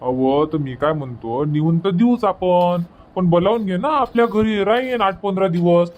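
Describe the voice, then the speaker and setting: A man's voice: high-pitched, normal speed, darkish tone, muffled recording, natural voice. A man voicing a practical, fond village farmer father in an amateur cartoon comedy, with a rural Vidarbha Marathi accent. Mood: practical, reluctant.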